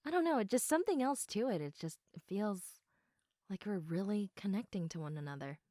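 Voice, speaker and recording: A woman playing a sleepy, affectionate girlfriend in an intimate sleep-aid roleplay, speaking softly and reassuringly with a tendency toward giggles and whispers. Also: mid-range voice, animated, no room sound, studio quality, natural voice. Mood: loving, content. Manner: soft, unhurried, often giggly, dropping into whispers. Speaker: audio roleplay performer.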